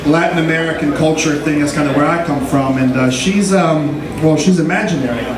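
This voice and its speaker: A man in his thirties from Brazil doing a rambling, halting stand-up set at an open mic. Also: a little high. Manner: rambling, halting, full of "like" fillers. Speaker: stand-up comedian. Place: bar stage at an open mic. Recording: roomy bar, distant mic, loud crowd. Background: laughing audience.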